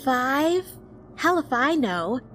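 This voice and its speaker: A woman's voice: high-pitched, very animated, slow, fairly bright tone, heavily acted. A woman acting a cheeky, upbeat young bouncer in an audio drama, casual, teasing and slangy. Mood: breezy. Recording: music behind the voice. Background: background music.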